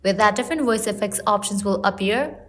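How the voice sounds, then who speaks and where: A woman's voice: medium pitch, a little fast, a bit bright. A woman reading scripted step-by-step tutorial narration in short, instructional phrases.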